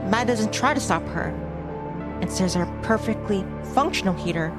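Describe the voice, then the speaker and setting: A woman's voice: mid-range voice, expressive, normal speed. Woman streamer narrating over music. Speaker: streamer reading a visual novel aloud. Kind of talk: story narration with casual commentary.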